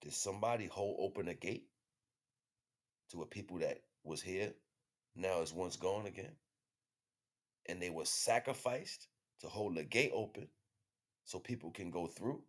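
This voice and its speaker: A man's voice: low-pitched, measured pace, pauses often. An American man giving a casual spiritual monologue as a livestream host, in slangy, profane, conversational speech.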